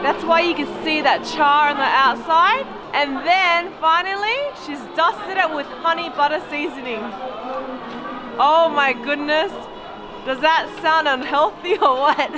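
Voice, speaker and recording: A woman's voice: sits high, a little slow. A woman in her thirties from Australia, describing street food in a casual vlog at a night market. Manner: describes food in detail, savoring bites. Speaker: food vlogger host. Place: busy night market, outdoors. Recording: loud crowd and music behind. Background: loud crowd and music.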